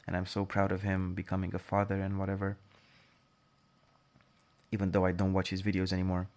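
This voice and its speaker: A man's voice: low voice, completely flat delivery, fairly quick, darkish tone. A young man speaking non-native English with British leanings, rambling through a casual solo monologue full of asides and self-corrections. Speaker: podcast host.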